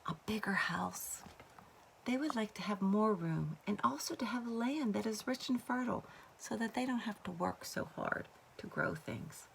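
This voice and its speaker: A woman's voice: medium pitch, lively intonation, moderate pace, gravelly, a bit bright, a little hiss or hum. A woman voicing a shy, dutiful, reluctant young peasant girl in a storybook.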